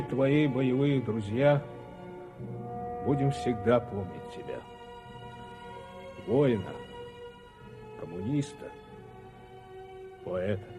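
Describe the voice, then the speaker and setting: An actor playing a solemn, grieving male soldier and comrade in a radio war drama. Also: mid-range voice. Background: music.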